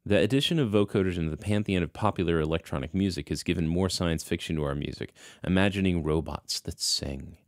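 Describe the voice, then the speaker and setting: Very low-voiced man narrating a tutorial. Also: quick pace, few pauses, dry recording, studio quality. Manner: easygoing, explanatory, folksy asides. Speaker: instructor narrating a tutorial video. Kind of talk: scripted tutorial narration with casual conversational asides.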